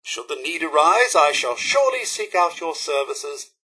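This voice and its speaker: An actor playing a prim, fussy, snobbish robot butler in a video game, with a British accent and a formal, pompous, theatrically polite manner. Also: male voice, fairly high pitch, expressive, moderate pace, pushed and bright, slightly rough, exaggerated voice. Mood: snooty. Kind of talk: scripted game companion dialogue.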